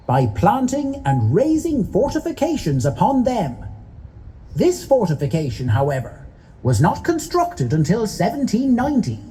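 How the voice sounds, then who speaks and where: A man presenting a ceremony with a formal, scripted welcome speech in a measured manner. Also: sits high, mellow. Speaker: event presenter.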